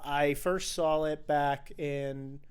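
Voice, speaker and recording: Young adult man, fairly high smooth voice, podcast host, enthusiastic, rambling casual film chat. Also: fairly even intonation, slow.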